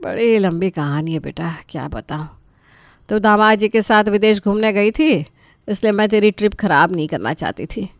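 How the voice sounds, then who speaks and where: A woman's voice: medium pitch, normal speed, no echo, studio quality, lightly acted. A woman voicing a weary elderly mother-in-law in a cartoon story, grumbling with dramatic self-pity. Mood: weary, aggrieved. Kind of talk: animated moral story dialogue.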